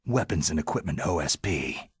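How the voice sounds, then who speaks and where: An actor playing a gruff, skeptical ex-soldier in a video game cutscene, with a terse delivery and a heavy rasp. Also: male voice, extremely low pitch, animated, normal speed, dry recording, studio quality, heavily acted. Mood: terse, businesslike. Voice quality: very husky, gravelly rasp. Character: gruff, defiant retired special-forces soldier on a covert mission.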